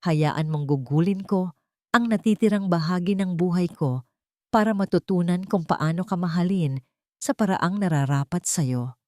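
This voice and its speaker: A devoted, earnest father in an audiobook romance, read by a woman. The pitch sits a little low. The recording is dry and close, with affectionate, sincere delivery. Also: normal speed, a little mellow, dry recording, lightly acted. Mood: tender, earnest. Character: devoted, earnest father, a wealthy former executive in love. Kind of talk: audiobook character dialogue.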